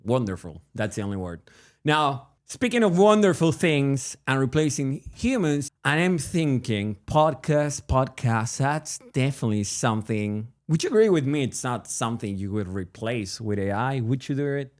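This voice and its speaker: A man's voice: medium pitch, animated, normal speed. Laid-back Argentine-accented man joking around. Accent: Argentine Spanish. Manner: joking, rambling, frequent restarts and laughs. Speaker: podcast co-host. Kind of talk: casual chat.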